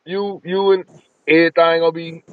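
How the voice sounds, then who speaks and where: A slightly dull video-call recording of an American man with a somewhat high voice. He interviews in a casual, slangy way, repeating words and tag phrases as he goes. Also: flat delivery, moderate pace.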